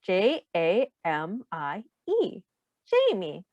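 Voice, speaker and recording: A woman reading a children's storybook aloud in an expressive, simple teacher's manner, pausing to put questions to young listeners. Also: mid-range voice, no room sound, highs cut off.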